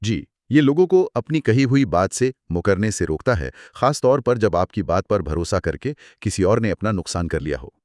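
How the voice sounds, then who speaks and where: Low-pitched man explaining, lively and dry. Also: moderate pace, hardly any pauses, no room sound. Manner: didactic, explanatory. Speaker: co-presenter explaining law in a lecture. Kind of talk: scripted two-person explainer dialogue.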